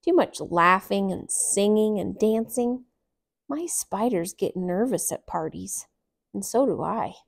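A woman's voice: mid-range voice, lively intonation, slow, creaky, heavily acted. A woman voicing a grumpy yak who hates parties. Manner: grumbling, disgusted. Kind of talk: storybook read-aloud.

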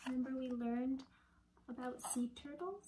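A woman in her thirties reading aloud to children like a teacher, deliberate and expressive, with a breathy voice that often drops to a hush. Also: high-pitched, noisy background. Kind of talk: children's picture-book read-aloud. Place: small indoor room at home with shelves.

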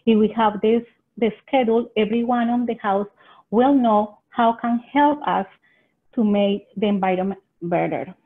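Colombian-accented woman over a tinny call. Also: mid-range voice. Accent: Colombian Spanish. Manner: earnest, halting non-native phrasing. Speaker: extension agent presenter. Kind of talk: webinar presentation. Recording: tinny phone-like webinar audio.

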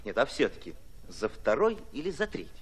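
Radio play, insistent revolutionary soldier, lively medium-pitched voice, questioning manner. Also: male voice, a little fast, husky.

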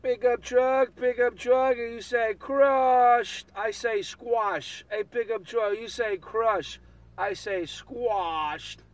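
A man with a high voice banters over CB radio. He sounds distant from the microphone. His talk is slangy and repetitive, looping catchphrases. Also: steady tone, takes it slowly, no echo. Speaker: CB radio operator. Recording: distant CB radio transmission, outdoor vehicle sound.

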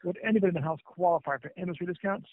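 A man's voice: high-pitched, racing, no echo. A man working as a phone agent, speaking politely and formally in scripted customer service style. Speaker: plumbing company phone agent. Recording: tinny lo-fi phone call.

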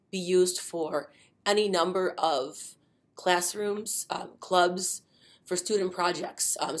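A middle-aged woman presenting an instructional webinar from a desk webcam, explaining step by step with frequent ums and uhs. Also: fairly low pitch. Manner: many fillers, unhurried explaining.

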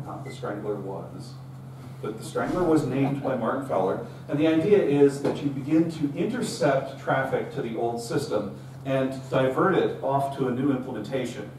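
A man's voice: mid-range voice, a little mellow. A middle-aged man giving a conversational, storytelling technical talk to a meetup audience, recorded from a distance. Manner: conversational storytelling, acts out quoted dialogue. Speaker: conference speaker. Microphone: room recording from far camera. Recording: roomy, distant, faint hum.

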